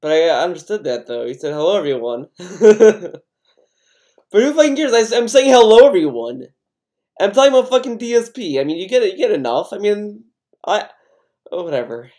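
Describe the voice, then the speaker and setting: An American man from Connecticut with a voice pitched a little high, ranting in a casual vlog. He rambles, swears freely and sounds defensive as he circles back over his points. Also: very animated, normal speed, warm tone. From Connecticut, USA. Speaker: YouTuber.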